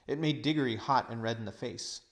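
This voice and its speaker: A man with a medium-pitched voice narrates a children's storybook. His phrasing is deliberate and expressive, made for reading aloud.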